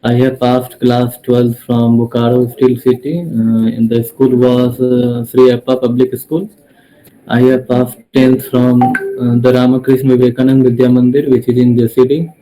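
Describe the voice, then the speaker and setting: A man's voice: medium pitch, monotone, moderate pace, mellow, soft background music, rough recording. A young male student from Bihar introducing himself in class, plain and slightly hesitant. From Bihar, India. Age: young adult. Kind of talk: self-introduction.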